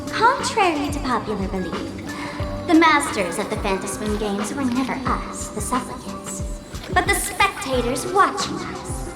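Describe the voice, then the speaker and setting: A woman's voice: high voice, very animated, a little slow, reverberant, over loud music. A woman voice acting a playful, smug young female internet idol and trickster in a video game, speaking in a theatrical, teasing, grandiose way. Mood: musing, theatrical.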